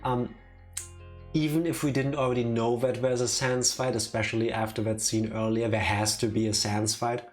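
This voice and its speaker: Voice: mid-range voice, little pitch movement, normal speed. A streamer chatting casually and teasingly while commentating on a game live. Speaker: streamer playing and reading out a game. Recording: music behind the voice. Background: music.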